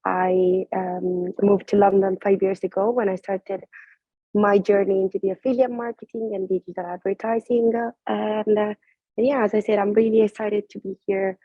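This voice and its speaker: A woman from Italy with an Italian accent, introducing herself on a webinar call in an earnest, halting way. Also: mid-range voice, little pitch movement, dry recording. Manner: earnest, hesitant with fillers. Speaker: webinar panelist. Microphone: video call. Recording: dull, dry lo-fi audio.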